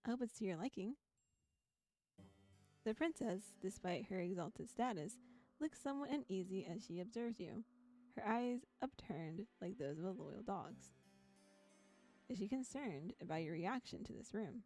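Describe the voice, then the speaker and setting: Soft, mellow woman streaming a game. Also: mid-range voice, expressive, moderate pace, pauses often. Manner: giggly, chatty. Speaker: streamer playing a visual novel. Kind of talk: live game stream, reading aloud with casual commentary. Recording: background music behind voice. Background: music.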